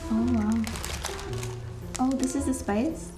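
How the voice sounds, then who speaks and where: A young woman from Boston, a student, asks curious questions as she tries a snack. Her voice is fairly high. Music plays clearly behind her. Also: fairly even intonation, slow. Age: young adult. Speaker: guest, student. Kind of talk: casual chat.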